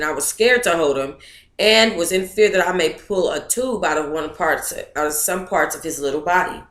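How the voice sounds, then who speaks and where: Chatty woman in an echoey room. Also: on the low side. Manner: expressive, chatty, breaks off to talk to listeners. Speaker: book club host reading aloud. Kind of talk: casual reading of a memoir, then chat with viewers.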